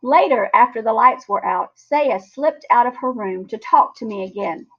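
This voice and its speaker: A woman reading a children's story aloud in an expressive, animated way. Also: on the high side, moderate pace. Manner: animated, acts out character lines. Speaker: storybook reader. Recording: dull, lo-fi sound.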